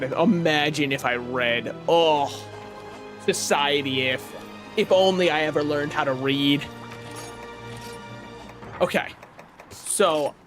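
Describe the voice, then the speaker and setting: A young man streaming a game from his apartment, chatting casually in a rambling, self-mocking way with a booming voice. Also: fairly bright tone. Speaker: vtuber streamer. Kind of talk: casual live-stream chat while gaming. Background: faint game music.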